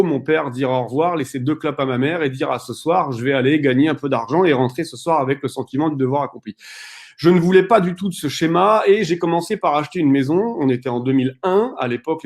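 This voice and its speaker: A man in his thirties co-hosting an online conference, telling his story in a direct, animated way with frequent fillers. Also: medium pitch. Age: 30s. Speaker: real estate investor co-hosting a webinar.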